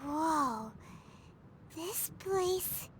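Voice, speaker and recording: A woman voicing a small, excitable, childlike fairy companion in an anime-style video game, squeaky and exclamatory. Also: extremely slow, very noisy, exaggerated voice. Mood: startled. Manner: exclamatory, speaks of self in third person. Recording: loud music under the voice. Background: loud game music.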